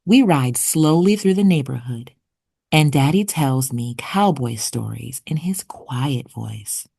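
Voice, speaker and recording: A woman reading a children's picture book aloud expressively, with deliberate storytelling pauses. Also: very deep voice, lively intonation, vocal fry, mellow, husky. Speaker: children's read-aloud narrator.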